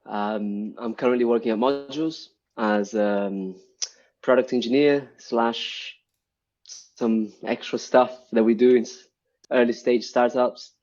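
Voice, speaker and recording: A man's voice: medium pitch, steady tone, a little slow, a little mellow. A man from Brazil, a guest on a casual live-stream interview, explaining at length with many ums and restarts. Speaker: guest, UI developer. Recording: dull lo-fi stream audio.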